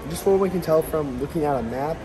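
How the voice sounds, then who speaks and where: A young man filming a casual travel vlog, relaxed and chatty, saying "like" often and laughing easily. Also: fairly high pitch, fast talker, background noise. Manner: laid-back, says "like" a lot, laughs easily. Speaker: travel vlogger. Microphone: handheld camera selfie.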